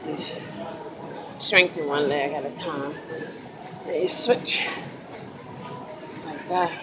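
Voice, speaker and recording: A woman's voice: low voice, steady tone, slow pace, music in the background, low-quality recording. A woman in her forties filming a casual workout vlog on her phone in a gym, chatting and encouraging her viewers in an easygoing way. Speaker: vlogger. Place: indoor gym, large room. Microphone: phone camera at arm's length, wired earbuds. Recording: loud gym noise behind.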